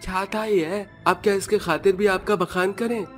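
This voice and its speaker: A man's voice: high-pitched, fairly even intonation, normal speed, natural voice. A man voicing a surly young son in a cartoon story, scolding and dismissive. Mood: scornful. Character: surly, ashamed young son of poor mother. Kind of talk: cartoon voice acting. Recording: music under the voice.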